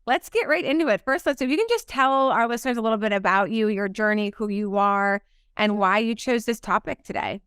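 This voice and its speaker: A woman's voice: on the high side, brisk, a bit bright, clear, resonant tone, dry recording, studio quality. A woman hosting a podcast interview, upbeat as she introduces and questions her guest. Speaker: podcast host.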